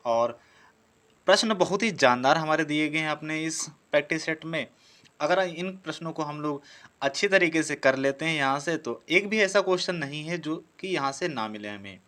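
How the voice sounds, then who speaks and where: A male teacher with a slightly high voice. He works through practice questions for exam students. His delivery is explanatory, often posing a question and then answering it himself. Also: expressive, normal speed, sharp tone. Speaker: teacher on an exam-prep class channel.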